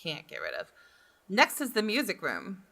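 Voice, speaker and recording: A woman gaming creator reading visual novel narration aloud, with expressive reactions. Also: mid-range voice, normal speed, gravelly, background noise. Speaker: gaming YouTuber.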